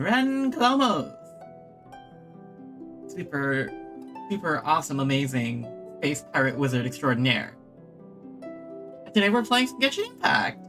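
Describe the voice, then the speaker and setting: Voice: mid-range voice, fairly bright tone. A streamer chatting casually and enthusiastically to viewers while playing a game, in a rambling way. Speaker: streamer and host. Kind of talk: casual livestream gaming chat.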